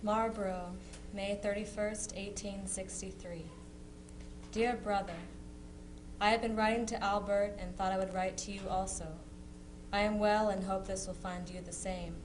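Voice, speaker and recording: A woman's voice: mid-range voice, little pitch movement, moderate pace, noisy background, lightly acted. A woman playing a contented young nineteenth-century sister, reading her letter aloud. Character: contented young 1860s woman writing cheerfully to her brother. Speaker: amateur reader in a historical society dramatization.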